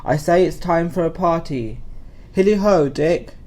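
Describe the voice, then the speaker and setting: A man's voice: fairly high pitch, a little slow, a little mellow, natural voice. Man acting a jolly, hearty old boss. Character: jolly, hearty old warehouse master throwing a party. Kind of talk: audio play acting.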